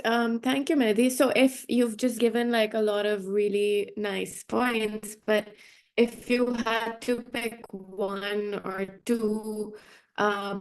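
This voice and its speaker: Creaky-voiced woman moderating a panel. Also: medium pitch, a little mellow. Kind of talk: moderating an online panel discussion.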